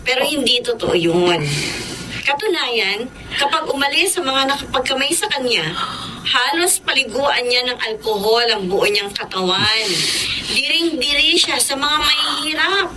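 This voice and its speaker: A woman's voice: high-pitched, animated, unhurried. An actress playing a bitter, accusing young married Filipino woman airing her grievances in a radio drama. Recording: clearly noisy background ambience.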